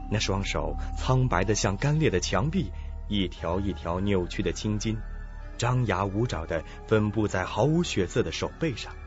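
A man narrating a scripted suspense radio drama as storyteller. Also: on the low side. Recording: dull lo-fi audio with faint music.

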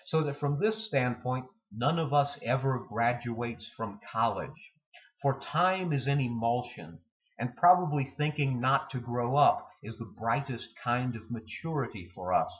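A man in his forties reading poetry aloud in a measured, literary way, pausing between phrases. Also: mid-range voice, lo-fi sound. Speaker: poetry reader. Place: indoor room with bookshelves.

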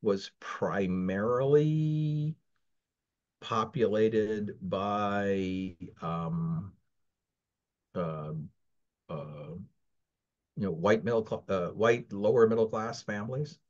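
Older man from the Philippines, American accent, low voice, meandering and hesitant, heard over a dull video call line. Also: very slow, long pauses, darkish tone. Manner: rambling, many restarts and fillers. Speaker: interview guest. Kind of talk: casual interview. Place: home, virtual background.

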